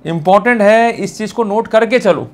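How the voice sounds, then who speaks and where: A man's voice: sits high, moderate pace. A man with an Indian accent giving an informal law lecture in an emphatic, colloquial way, often throwing out rhetorical questions.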